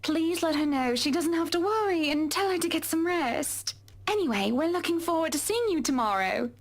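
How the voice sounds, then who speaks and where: A woman's voice: very high voice, lively intonation, normal speed. A woman playing a haughty, wealthy client in a visual novel game, speaking in a polished, imperious and breezy way. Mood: gracious.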